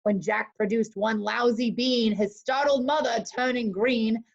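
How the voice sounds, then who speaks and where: A woman's voice: on the high side, steady tone, measured pace, vocal fry. A woman reading a rhyming bedtime story expressively, as a storyteller and co-host. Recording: dull lo-fi call audio.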